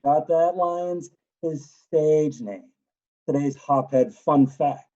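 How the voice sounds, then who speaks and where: A man voicing a gruff, impatient young male patient who grumbles sarcastically. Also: fairly high pitch, slow, dark tone, lo-fi sound. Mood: sarcastic.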